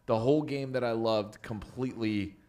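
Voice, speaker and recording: A man's voice: medium pitch, moderate pace, warm tone. A man co-hosting a podcast, talking casually and emphatically, repeating words to drive opinions home. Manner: emphatic, repetitive for effect. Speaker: podcast co-host. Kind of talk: casual opinionated chat about games.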